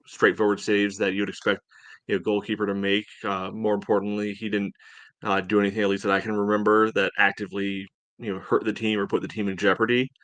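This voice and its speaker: Lower-pitched man in casual sports talk, dry sound. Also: a bit bright, dry recording. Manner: measured, hedging, frequent "you know". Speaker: sports podcast co-host. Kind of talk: casual soccer match discussion.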